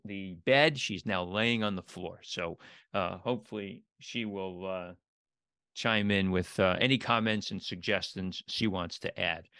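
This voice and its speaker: Low-pitched man hosting a podcast, dry sound. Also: fairly bright tone, smooth, no room sound. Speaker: podcast host. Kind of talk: scripted podcast intro and interview.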